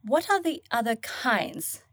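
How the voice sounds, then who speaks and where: A woman's voice: fairly high pitch, sing-song, slow pace, no echo, studio quality, exaggerated voice. A woman playing a blunt, sarcastic and grumpy young dead woman in a fantasy audio drama. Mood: curious, alarmed. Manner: sarcastic, casual, slangy.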